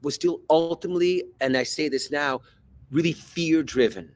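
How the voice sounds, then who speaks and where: A man from Switzerland, a podcast guest who is an actor and coach, telling a personal story in a thoughtful, halting way with many repeated words. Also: fairly high pitch. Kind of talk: reflective personal story in conversation.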